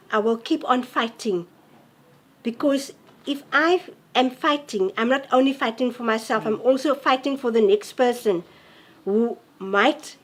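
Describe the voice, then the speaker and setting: Soft-spoken woman in her forties. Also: a little high. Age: 40s. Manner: halting, earnest, soft-spoken. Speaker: interviewee. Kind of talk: personal testimony to camera.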